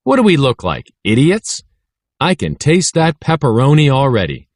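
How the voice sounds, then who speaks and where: A chatty, cocky schoolboy as a man reads him from a children's storybook. He talks casually and eagerly, with very animated pitch. The sound is dry and close. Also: moderate pace, dry recording.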